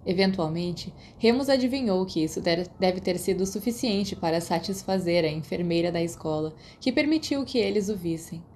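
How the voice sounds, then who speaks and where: Female audiobook narrator, Brazilian accent, warm dark timbre, scripted fiction, light background noise. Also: fairly low pitch, animated, normal speed, few pauses.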